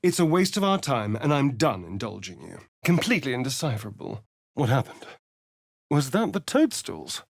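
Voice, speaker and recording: A man's voice: mid-range voice, sing-song, moderate pace, vocal fry, no room sound, studio quality. An actor playing a sly, vain male vampire rogue in a video game, with an arch, sardonic, theatrical drawl. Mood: irritated, bored. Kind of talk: video game character voice lines.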